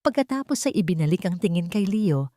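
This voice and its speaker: A woman narrating a scripted romance story in a measured, dramatic storytelling manner. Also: fairly low pitch, animated, mellow, no echo, clean studio sound.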